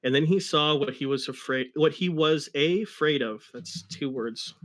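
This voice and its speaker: A man's voice: on the high side, unhurried, fairly bright tone. A man giving a comic dramatic reading of a fan fiction story, with wry asides.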